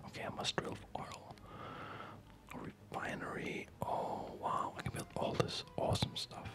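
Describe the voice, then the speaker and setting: A person whispering breathy, unhurried ASMR commentary while playing a video game, musing softly aloud. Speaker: video gamer narrating own play. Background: music.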